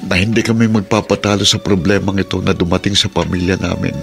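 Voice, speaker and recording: Gravelly, heartbroken Filipino father. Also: sits low, little pitch movement, fast, fairly bright tone. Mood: resolute. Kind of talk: Filipino radio drama acting. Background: background music.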